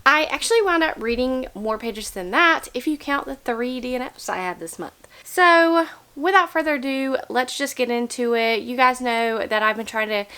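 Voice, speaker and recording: A young woman talks through her month of reading in a candid, chatty way. Her voice sits a bit high, and a cold leaves her slightly nasal. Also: moderate pace, smooth. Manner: chatty, candid, animated opener. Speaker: book vlogger. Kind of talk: casual chat about books.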